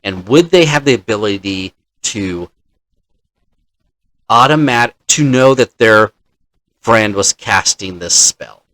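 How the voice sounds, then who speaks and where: A man's voice: lowish voice, animated, slow pace, pauses often, fairly bright tone, no room sound, poor audio. A man hosting as a dungeon master, telling the story of a game session in an explanatory way and acting out players' lines.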